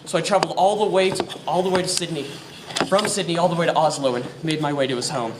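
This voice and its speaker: A man's voice: on the high side, fairly even intonation, natural voice. A man playing an earnest, haunted 1920s investigator, narrating formally in a live radio play. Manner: formal, literary, deliberate. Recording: echoey, distant, noisy theatre recording.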